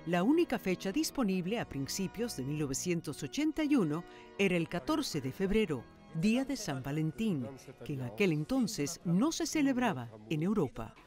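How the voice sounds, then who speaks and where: Female TV reporter, scripted narration, lower register, very varied intonation. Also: sing-song, normal speed, a little mellow. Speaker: TV news magazine presenter and reporter. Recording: music bed under studio voice. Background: background music.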